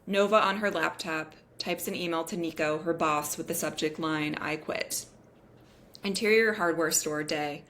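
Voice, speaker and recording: A woman's voice: sits low, normal speed, fairly bright tone, a little hiss or hum. A woman reading scripted narration and stage directions at a table read.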